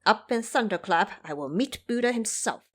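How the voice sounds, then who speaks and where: A woman voicing a boastful male monkey king warrior who taunts and threatens his foes loudly. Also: mid-range voice, animated, normal speed. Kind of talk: audio drama voice acting.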